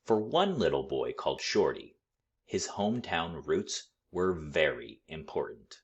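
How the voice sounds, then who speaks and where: A man reading a children's story aloud with expressive, deliberate, dramatic phrasing. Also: a bass voice, dull audio. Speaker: storybook read-aloud reader.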